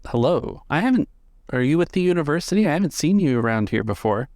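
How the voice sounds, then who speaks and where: A man's voice: fairly high pitch, big pitch swings, quick pace, a little mellow, dry recording, clean studio sound, pitched above the performer's own voice. A man playing a curious, easily surprised male scholar and traveler in a tabletop game. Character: bored male scholar doctor back from expedition.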